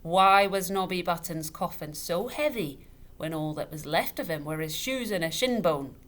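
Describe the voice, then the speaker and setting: A woman voicing a stubborn, tipsy father in a children's story, loud, emphatic and scornful, close to shouting. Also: high-pitched, animated, moderate pace. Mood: argumentative, insistent. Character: tipsy, stubborn, jeering father arguing loudly. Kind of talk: children's audiobook character voice. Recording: noticeable background noise.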